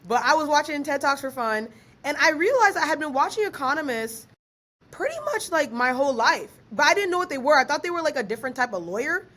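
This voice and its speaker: A young woman, born in Ghana and raised in Maryland, chatting as a podcast guest in a lively, slangy way and laughing easily. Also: sits high, fast. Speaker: guest, author and researcher. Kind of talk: casual podcast interview.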